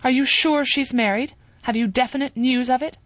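Nervous fragile young woman, quavering pleas, higher than the narration; voiced by a female reader. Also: fairly even intonation, normal speed, no room sound, highs cut off, close to an everyday voice. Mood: anxious. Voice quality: quavering, pleading. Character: anxious, fragile young woman, easily upset. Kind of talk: audiobook character reading.

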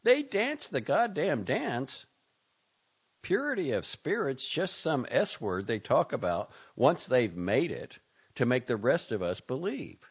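A man reading as an impatient, sharp-tongued young man who lectures his friend in a raised, loud voice. His pitch leaps about wildly from line to line, and the lo-fi recording has a gravelly, dull edge. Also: very animated, unhurried, full character voice. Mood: exasperated.

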